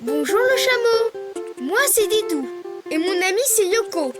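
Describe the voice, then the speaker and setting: A woman's voice: very high voice, very animated, unhurried, full character voice. A woman voicing a small, friendly young male character who cheerfully explains step by step in a children's cartoon. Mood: cheerful, instructive. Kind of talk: children's cartoon voice acting, drawing tutorial. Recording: music under the voice.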